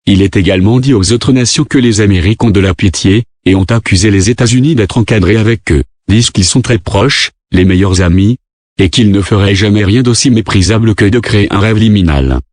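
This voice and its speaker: Man, emergency alert announcer, low voice, formal scripted reading, dry close sound. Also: brisk, a little mellow, no room sound. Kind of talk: scripted emergency broadcast narration.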